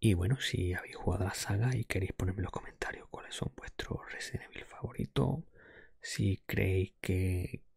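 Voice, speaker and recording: A man murmurs very close to the mic in a dry, intimate ASMR recording. His low voice slips between soft talk and whisper, very breathy and husky. He rambles gently through his opinions as a podcast host. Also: normal speed, no room sound. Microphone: close microphone.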